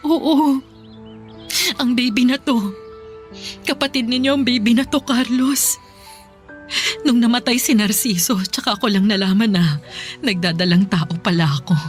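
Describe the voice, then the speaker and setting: A high, tearful voice of a poor Filipino mother, acted by a woman in a radio drama. She pleads with remorse, halting and repeating her words, over soft background music. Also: lightly acted. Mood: guilty, pleading.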